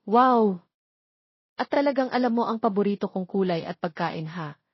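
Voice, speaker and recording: A woman's voice: mid-range voice, lively intonation, normal speed, breathy edge, dry recording, lo-fi sound. A woman with a Filipino accent voicing a sweet, dutiful young woman in love in an audio drama. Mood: delighted.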